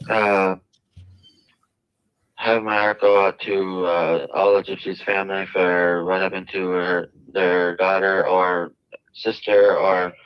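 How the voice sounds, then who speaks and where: A man giving a halting personal account as an inmate in an interview, stumbling and searching for words. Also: low voice. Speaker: jail inmate being interviewed by a reporter. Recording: muffled, lo-fi jail interview recording.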